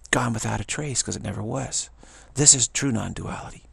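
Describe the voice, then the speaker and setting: Gravelly, husky older man musing. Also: lowish voice, fairly bright tone, dry recording. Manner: halting, repeats words, chuckles often. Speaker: spiritual teacher. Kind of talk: rambling spiritual monologue. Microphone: action camera.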